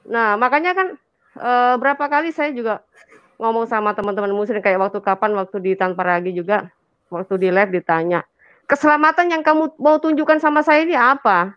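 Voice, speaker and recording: A woman's voice: on the high side, fairly quick, clean tone, no room sound, highs cut off. An Indonesian woman in an impassioned, conversational religious discussion, emphatic and colloquial, repeating phrases and checking for agreement. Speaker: talk show speaker on religion.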